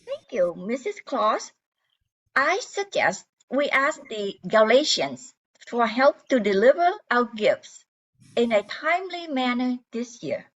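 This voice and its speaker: Woman voicing a prim, slow-spoken, insistent reindeer. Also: on the high side, big pitch swings, dull audio, heavily acted. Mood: earnest. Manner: polite, precise, insistent. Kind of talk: amateur Christmas radio play.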